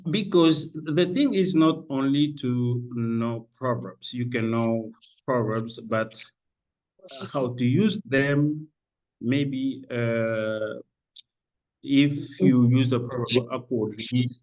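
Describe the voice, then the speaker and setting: A man from Senegal presents a conference paper at a slow, unhurried pace, full of pauses and restarts. His voice sits at an ordinary middle pitch. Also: a little mellow, rough recording. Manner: halting, with many fillers and restarts. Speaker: academic presenter. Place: conference room.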